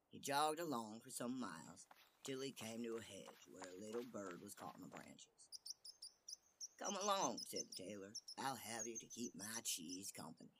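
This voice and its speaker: A man narrating a fairy tale as an expressive storyteller. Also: lowish voice, slight background noise.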